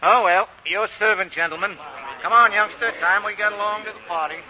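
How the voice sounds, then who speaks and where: An actor playing a laconic, easygoing but dangerous Southern gallant, with folksy, unhurried phrasing, in a 1940s radio drama. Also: male voice, high voice, close to an everyday voice. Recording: muffled old 1940 radio broadcast.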